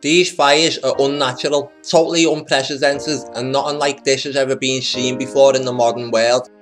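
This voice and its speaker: A man's voice: mid-range voice, flat delivery, moderate pace. A man narrating a video as conspiracy commentary. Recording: music playing behind the voice.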